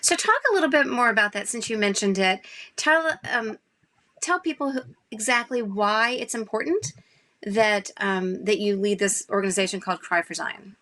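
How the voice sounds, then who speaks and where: A woman's voice: medium pitch, normal speed, bright, forceful tone. A woman from Arkansas hosting a podcast with an upbeat, enthusiastic delivery. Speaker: podcast host. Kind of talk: scripted intro and friendly interviewing.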